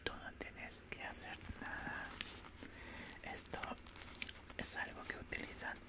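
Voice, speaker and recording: A man plays a calm alien examiner in an ASMR medical roleplay, speaking in a breathy whisper right at the microphone. His delivery is very slow and soothing, with short phrases gently repeated in a clinical manner. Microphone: close desk microphone.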